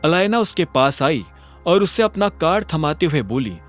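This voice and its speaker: A man's voice: on the high side, very animated, normal speed. A man narrating a scripted drama story in a dramatic storytelling style. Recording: music under the voice.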